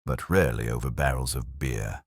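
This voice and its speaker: A man voicing a mild, dry-witted middle-aged police inspector who speaks quietly and without hurry. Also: rumbling low, fairly even intonation, moderate pace, breathy edge, no room sound.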